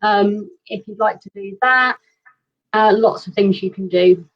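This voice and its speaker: A woman's voice: mid-range voice, measured pace. A British woman hosting a casual online livestream chat over a video call. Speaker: host of an online fan convention. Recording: dull, lo-fi online call audio.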